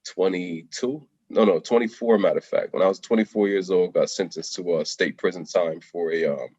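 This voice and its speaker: A middle-aged man from Jamaica, a contractor guest telling his life story candidly in an interview, soft-spoken with frequent ums and throat clearing. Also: low-pitched, fairly quick, no room sound, lo-fi sound.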